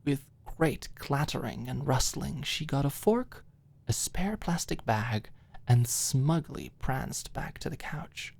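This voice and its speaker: A woman's voice: very deep voice, animated, takes it slowly, creaky, darkish tone, husky. A woman reading scripted story narration for an ASMR-style audiobook, close to the microphone.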